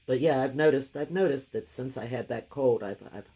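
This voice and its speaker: Deep, gravelly-voiced woman painting live. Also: moderate pace, mellow. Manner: chatty, thinks aloud while working. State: lingering gravelly voice after a cold. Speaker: craft painting host. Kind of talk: casual live painting demo.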